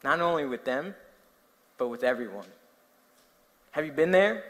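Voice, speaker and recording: A man's voice: fairly high pitch, moderate pace. A young man from New Jersey with a New Jersey accent, preaching a sermon, telling stories and asking his listeners questions. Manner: animated storytelling, asking the audience questions. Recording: long hall reverb.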